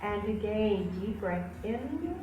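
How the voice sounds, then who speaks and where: A woman yoga instructor leading a guided relaxation class in a soothing, unhurried manner with gentle pauses. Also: medium pitch, expressive, a little hiss or hum. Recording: roomy echo with faint music behind.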